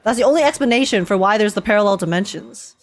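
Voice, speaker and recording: A young woman giving casual, excitable game reaction commentary, giggly and thinking aloud as she goes. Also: medium pitch, fast talker. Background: faint game music.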